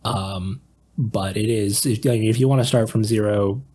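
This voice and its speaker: A man on a podcast panel explaining things casually, in a halting and measured way. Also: on the low side, fairly even intonation, mellow, a little hiss or hum.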